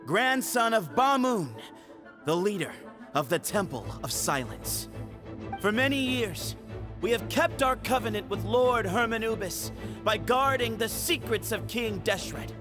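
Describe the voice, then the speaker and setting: A man's voice: high-pitched, lively intonation, unhurried, music in the background. A man playing a confident, earnest young heir and challenger in a video game, self-assured and solemn. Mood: solemn, resolute.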